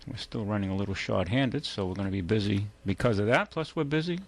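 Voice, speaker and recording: A middle-aged man hosting a casual call-in radio show, talking in a relaxed, rambling way with many fillers. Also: on the low side, fast talker. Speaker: radio talk show host, car dealer.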